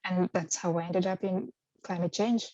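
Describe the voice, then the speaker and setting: A woman's voice: on the low side, fairly even intonation, normal speed, warm tone, no room sound, muffled recording. A woman from Kenya in her thirties, a research fellow answering interview questions thoughtfully, with frequent hesitations and restarts. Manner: hesitant, many ums and restarts.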